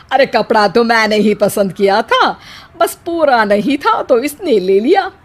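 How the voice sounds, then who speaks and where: A woman's voice: fairly high pitch, animated, slow. A woman voicing a chatty, exclaiming auntie and mother-in-law in a cartoon tale. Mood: admiring.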